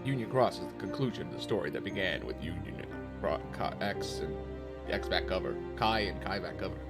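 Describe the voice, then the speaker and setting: A man's voice: low voice, animated, brisk. A man giving casual, jokey reaction commentary as a streamer. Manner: jokey, sings nonsense syllables and exclaims. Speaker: streamer reacting to a fan dub. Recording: music behind the voice.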